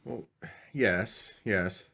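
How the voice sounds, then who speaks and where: A man's voice: lowish voice, creaky, sharp tone, heavily acted. A man acting a tense, desperate husband. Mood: subdued. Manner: agitated, pleading, halting. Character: anxious, desperate husband in a radio mystery. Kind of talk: old-time radio mystery acting.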